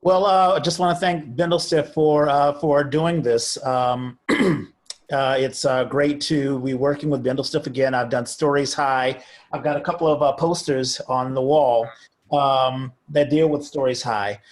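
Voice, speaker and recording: A man speaking informally and a little haltingly as a playwright at an online talk-back. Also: mid-range voice, fairly even intonation. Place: indoors on a video call.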